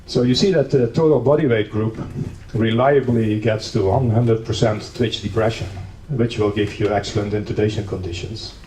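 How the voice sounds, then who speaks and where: A man's voice: lowish voice, normal speed, few pauses, mellow. A man from the Netherlands giving an academic conference lecture, explaining steadily with a touch of dry humor. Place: conference hall. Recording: echoey hall, distant mic, faint noise.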